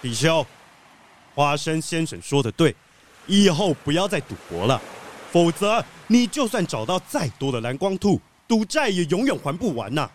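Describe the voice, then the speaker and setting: An actor playing a kindly, principled gentleman treasure collector, earnest and expressive, in a children's audio drama. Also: on the high side, moderate pace, slight background noise.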